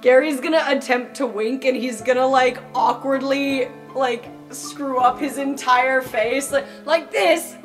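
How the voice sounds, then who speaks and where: A woman with a high voice improvises in a tabletop roleplay game. She speaks slowly and casually. There is faint music behind her. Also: fairly even intonation. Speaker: player on a roleplay podcast.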